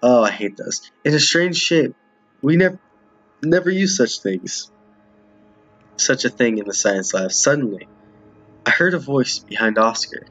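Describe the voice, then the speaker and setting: A man's voice: mid-range voice, expressive, unhurried, long pauses, a bit bright, slightly rough, faint music behind. A man giving casual game commentary as a streamer, reading dialogue aloud and often stumbling over or repeating lines. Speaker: streamer playing a visual novel with viewers. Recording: muffled, roomy home recording.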